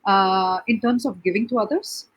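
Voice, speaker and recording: A woman's voice: medium pitch, husky. A woman from Tamil Nadu, earnestly describing her charity work and saying "okay" often as a guest on a chat show. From Tamil Nadu, India. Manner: earnest, fills pauses with "okay". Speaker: guest, NGO founder. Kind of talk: conversational interview answers.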